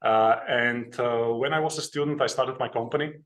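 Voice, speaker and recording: A man's voice: medium pitch, normal speed, a bit bright. A man from Slovenia, a guest telling his story in an interview, plain and earnest, with many hesitations. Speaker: guest, author and investing educator.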